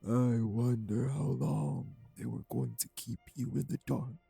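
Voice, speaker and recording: A man playing a menacing, urbane old vampire kidnapper in an audio drama, with a measured, condescending, formal delivery and a gravelly voice. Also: steady tone, warm tone, dry recording, faint music behind, full character voice. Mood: condescending, intrigued. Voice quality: gravelly, low. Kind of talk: audio roleplay drama acting.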